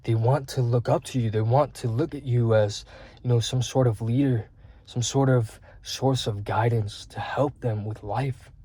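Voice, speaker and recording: A man's voice: medium pitch, fairly even intonation, moderate pace, warm tone, slightly rough, no echo. A man in his twenties giving earnest, rambling self-improvement talk as a vlogger, with frequent fillers and swearing. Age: 20s.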